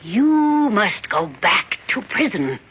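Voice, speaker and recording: Cold, superior alien judge. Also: male voice, high-pitched, big pitch swings, bright, forceful tone, husky, full character voice. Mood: coldly superior. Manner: cold, measured pronouncements. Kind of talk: 1970s radio drama acting. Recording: dull old radio broadcast.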